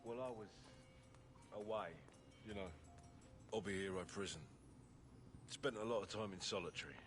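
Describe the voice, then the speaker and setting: An actor playing a plain-spoken, regretful ex-convict in a video game, heard from a little distance. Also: male voice, on the low side, normal speed, close to an everyday voice. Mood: regretful, amazed. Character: male ex-convict, regretful, easily impressed listener. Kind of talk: video game character dialogue. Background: music.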